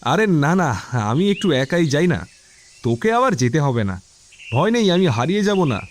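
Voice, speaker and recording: A man's voice: on the high side, big pitch swings, moderate pace, slight background noise. An actor playing a troubled but determined young man in an audio drama, with a hesitant, brooding way of talking. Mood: evasive. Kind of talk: Bengali audio drama acting.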